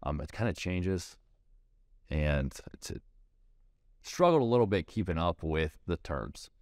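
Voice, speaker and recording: Very low male voice, thirties, practical interview talk, dry studio sound. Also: expressive, unhurried, a little mellow, dry recording. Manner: detailed, practical, repeats words while thinking. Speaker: plumbing salesperson. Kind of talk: casual interview answers. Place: TV studio set.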